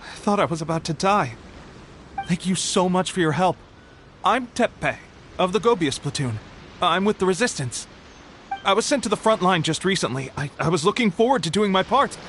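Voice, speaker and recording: Video game role: eager, polite young male foot soldier, lightish high pitch, earnest and a touch hesitant, score behind. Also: a bit bright. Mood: grateful, sheepish. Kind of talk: video game character dialogue. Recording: studio voice under game music. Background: game music.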